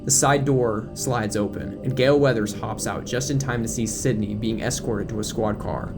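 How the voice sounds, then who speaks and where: A man's voice: lowish voice, steady tone, normal speed, loud music behind the voice. A man narrating an audio drama in scripted present-tense prose, reading scene descriptions and action.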